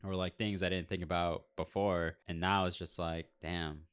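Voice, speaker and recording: A man around thirty with a low voice, talking in an easygoing, slangy way. He tells casual stories at an unhurried pace on a podcast. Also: level tone, clean tone. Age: 30s. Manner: laid-back, slangy storytelling. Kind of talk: casual chat.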